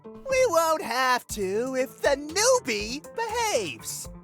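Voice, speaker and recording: A woman's voice: high voice, big pitch swings, drawn-out words, a bit bright, faint music behind, exaggerated voice. A woman playing a short, stern, suspicious police officer with a cocky bite in a video game. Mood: stern, threatening. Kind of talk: voice acting in a dating simulator game.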